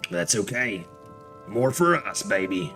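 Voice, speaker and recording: A man's voice: mid-range voice, sing-song, a little slow, a bit bright, soft background music. A man giving casual, sweary, irreverent commentary while streaming a video game. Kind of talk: casual live gaming commentary.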